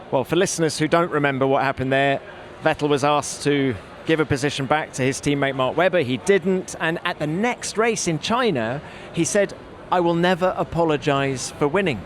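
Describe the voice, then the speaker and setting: A man's voice: a little high, a little hiss or hum. A man hosting a motorsport podcast in a conversational discussion style.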